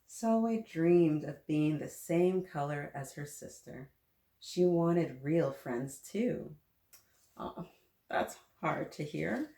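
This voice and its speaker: Slow, low-voiced woman reading to children. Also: animated, gravelly, echoey room. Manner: gentle, encouraging, child-directed questioning. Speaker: children's story-time host reading aloud. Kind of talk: picture-book read-aloud with asides and questions to children.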